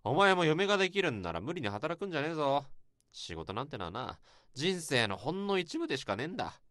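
A rough-talking senior office worker in a radio drama, bossy and quick to lecture. His voice sits a little high and leaps widely in pitch. The sound is dry and close. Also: big pitch swings, a bit bright, no echo. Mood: gruff teasing. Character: gruff blunt senior office worker man. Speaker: senior coworker.

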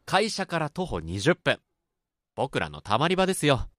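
A man's voice: fairly high pitch, sing-song, moderate pace, fairly bright tone, dry recording, clean studio sound. A man playing a polite, easygoing junior office worker among friends in a Japanese radio drama. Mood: nostalgic, eager.

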